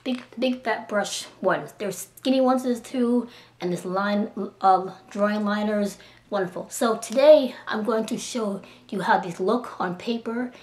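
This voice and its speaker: A woman in her thirties with a slightly hoarse voice from a cold, gushing over art markers in a casual chatty demo at home, recorded on a camera mic. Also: medium pitch, a little slow, darkish tone. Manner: enthusiastic, gushing, chatty with restarts. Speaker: art supply reviewer. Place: small indoor room at home.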